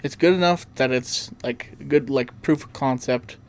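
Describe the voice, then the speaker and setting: A man's voice: medium pitch, measured pace, creaky. Casual man hosting a gaming podcast. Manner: rambling, peppered with "like". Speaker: podcast host. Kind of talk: casual podcast chat about video games.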